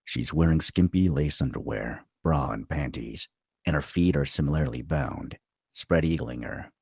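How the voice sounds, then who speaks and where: A man narrating a screenplay at a table read, with a gravelly, husky voice. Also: a bass voice, moderate pace, a little mellow, no room sound, studio quality.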